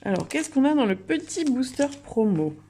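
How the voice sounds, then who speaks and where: A woman's voice: high voice, sing-song, moderate pace, warm tone, a little hiss or hum. A woman giving chatty, enthusiastic casual commentary as the host of a card unboxing video.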